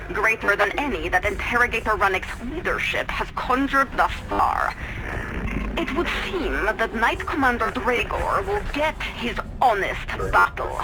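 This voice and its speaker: A woman's voice: a little high, poor audio. A woman playing a proud, zealous military commander in a video game, formal, solemn and declamatory. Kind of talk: scripted video game cutscene acting. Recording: music behind the voice. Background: music.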